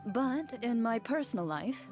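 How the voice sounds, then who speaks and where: A woman voicing a reserved, upright female duelist with a measured, formal manner in an anime-style game. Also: a little high, animated, darkish tone. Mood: earnest. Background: game music.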